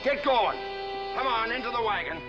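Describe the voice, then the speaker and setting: An actor playing a gruff, bossy male lawman in a campy 1960s television show, barking hurried orders. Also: extremely high pitch, expressive. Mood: bossy, impatient. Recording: dull old TV soundtrack, loud music.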